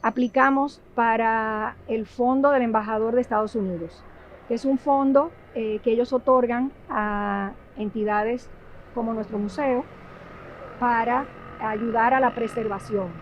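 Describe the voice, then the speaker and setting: A Dominican woman with a Dominican Spanish accent, answering in a documentary interview. She speaks thoughtfully and often pauses on filler sounds while she searches for words. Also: on the high side, steady tone, lo-fi sound, a little hiss or hum. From Dominican Republic. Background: background music.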